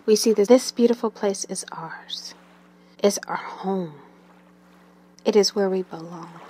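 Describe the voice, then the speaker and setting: Slow, soothing woman guiding a meditation. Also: mid-range voice. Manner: soothing, gentle, with long pauses and breathy, trailing phrase ends. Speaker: meditation guide. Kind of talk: guided meditation narration.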